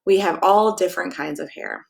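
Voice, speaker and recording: An American woman with a lowish voice and a noticeable creaky fry speaks as if to young children at story time. Her delivery is playful and expressive, moving between sing-song read-aloud and casual, direct chat. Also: normal speed, low-quality recording. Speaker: pastor reading a picture book to children.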